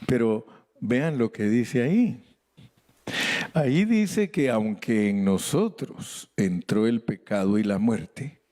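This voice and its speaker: Male pastor, Spanish native, unhurried sermon with wide lively pitch and dark warm tone. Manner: animated preaching with playful asides and shouted exclamations. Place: church sanctuary.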